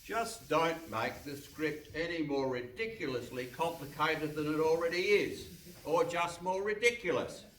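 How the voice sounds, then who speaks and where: A man's voice: on the high side, a little slow, fairly bright tone. A man playing a fussy, exasperated critic in a live comic radio play. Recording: echoey hall, distant mic, faint noise.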